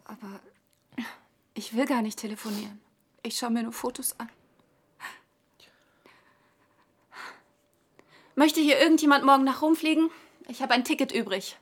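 An actress in a radio play voicing an anxious, fragile woman in her early twenties with a husky voice. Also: sits high, fairly quick. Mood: defiant, offhand. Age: 20s. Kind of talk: radio drama acting.

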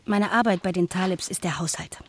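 An actress in a radio play playing an earnest, modest middle-aged woman, reading her letter aloud in an intimate, measured, confiding way. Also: fairly low pitch, steady tone, close to an everyday voice. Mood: confiding, content.

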